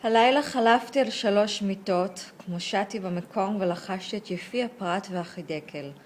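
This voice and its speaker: A woman reading her own poem aloud at a conference, in a rapid, flowing recitation. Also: medium pitch. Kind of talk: poetry reading.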